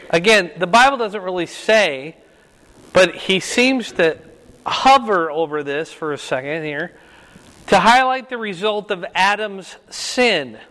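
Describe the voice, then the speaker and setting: An older man with a loud, fairly high voice leads a Bible class, firing questions at his group and repeating them until someone answers. He speaks very slowly and deliberately. The sound carries a long reverb from the room. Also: animated. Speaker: Bible study teacher. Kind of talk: interactive Bible class, quizzing listeners.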